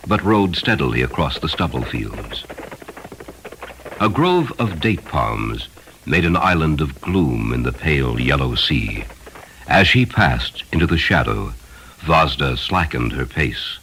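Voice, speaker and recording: A man narrating a story from a script in a solemn, expressive and dramatic style. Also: low-pitched, noisy background. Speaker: audiobook narrator.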